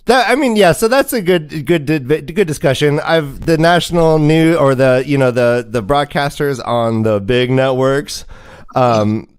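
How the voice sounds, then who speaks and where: A man hosting a sports livestream, chatting casually in an animated, joking way. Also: fairly high pitch, unhurried, smooth. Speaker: host of a fantasy football livestream.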